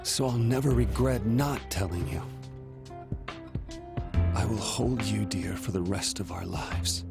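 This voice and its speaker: A man's voice: fairly low pitch, unhurried, gravelly. An actor playing an earnest, lovesick young man in a game cutscene, heartfelt and hesitant. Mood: earnest, tender. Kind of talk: dramatic video game cutscene acting. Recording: music behind the voice.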